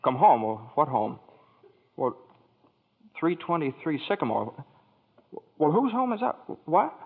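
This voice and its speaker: A flustered, stammering young husband. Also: male voice, fairly high pitch, big pitch swings, unhurried, breathy edge, big-room sound, exaggerated voice. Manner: stammering, earnest. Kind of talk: 1940s radio acting. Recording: tinny old radio broadcast.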